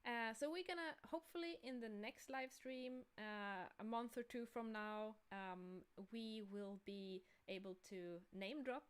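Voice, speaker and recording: A woman co-hosting a livestream, explaining a project informally and haltingly, often correcting herself. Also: on the high side, clear, resonant tone. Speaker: livestream co-host and game creator. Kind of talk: casual livestream talk about a project.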